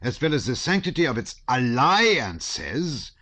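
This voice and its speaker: A man's voice: fairly high pitch, sing-song, moderate pace, pushed and bright, dry recording, voice pushed up. A man reading an audiobook voices a stout, pompous old colonel who declaims with heavy emphasis on his words.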